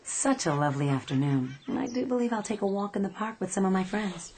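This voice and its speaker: An actress playing a gentle, playful, dreamy woman passenger in a radio drama. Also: medium pitch, fast talker, slight background noise, close to an everyday voice. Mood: bright, airy.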